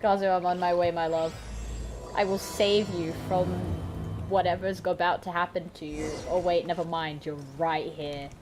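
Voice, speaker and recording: A young woman giving excitable, mock-dramatic, gushing gameplay commentary. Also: lowish voice, moderate pace. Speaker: game streamer. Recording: noisy mic with game sound. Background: game audio.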